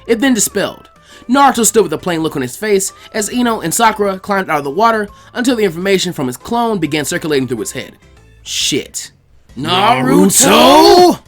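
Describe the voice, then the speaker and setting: Someone reading a story out loud, really animated, soft music behind. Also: mid-range voice. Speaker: fan fiction reader, narrator. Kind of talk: scripted story narration with character voices.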